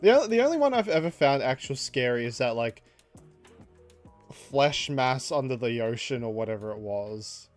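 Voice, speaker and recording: A man casually chatting on a livestream while he draws, reading out chat messages, laughing often and jumping up in pitch for jokes. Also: lively intonation, moderate pace, faint music behind.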